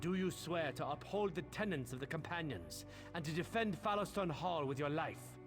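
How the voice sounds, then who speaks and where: A man as a high-pitched solemn warrior leader. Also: animated, moderate pace, natural voice. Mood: solemn, ceremonial. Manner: ceremonial, formal. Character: solemn male Nord leader conducting a warrior ceremony. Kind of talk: fantasy game voice acting. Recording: game music loud behind the voice.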